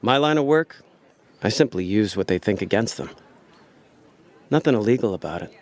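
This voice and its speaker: A man's voice: lowish voice, lively intonation, normal speed, lightly acted. An actor playing a laid-back, cynical con man in a hardboiled noir radio play, with a wry, slangy, world-weary delivery. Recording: radio drama with music underneath. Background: prominent background music.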